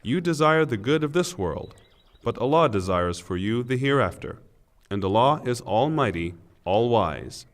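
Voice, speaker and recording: A man reading a scripture translation from a script in a measured, formal and solemn way. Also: medium pitch, lively intonation. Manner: measured, formal delivery in short phrases. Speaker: reader of English scripture translation.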